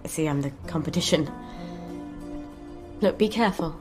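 A woman's voice: low-pitched, moderate pace, music in the background, lightly acted. An actress playing a frank, wry, wistful woman chemist in a video game, speaking intimately and sometimes whispering. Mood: businesslike. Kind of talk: naturalistic video game acting.

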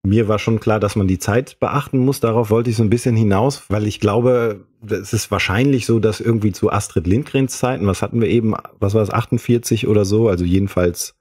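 A man's voice: on the low side, fairly quick, few pauses, darkish tone, slightly rough. A man in a reflective, casual podcast discussion, phrasing his points in a hesitant, roundabout way. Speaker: podcast panelist.

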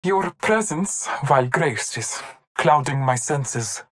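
Game casting: solemn masked hunter, formal and devoted, very slow, deliberate delivery. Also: female voice, low-pitched, animated, full character voice. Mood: devoted, restrained. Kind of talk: video game character voice lines.

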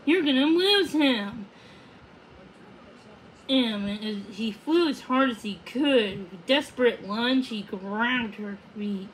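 A woman reading a children's book aloud, haltingly, stumbling over words and repeating them. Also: mid-range voice, a little hiss or hum, rough recording. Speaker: storybook reader.

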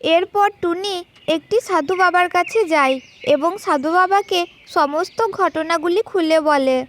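A woman's voice: very high voice, measured pace, fairly bright tone, a little hiss or hum. A woman storyteller reading scripted narration for children's cartoon tales.

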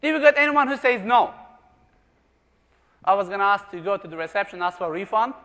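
A man with a very high voice presents to a live conference audience, cracking jokes and firing questions at the room. He grew up in Germany. His voice rings out in a large hall with a long, trailing reverb. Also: animated, a little fast, fairly bright tone. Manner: jokey, asks the audience questions.

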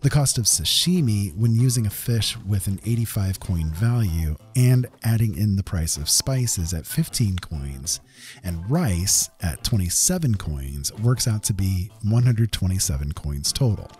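A man with a fairly low voice narrates a gaming video. He explains things step by step in a semi-scripted voice-over style. Faint music plays behind him. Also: expressive, unhurried, darkish tone. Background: background music.

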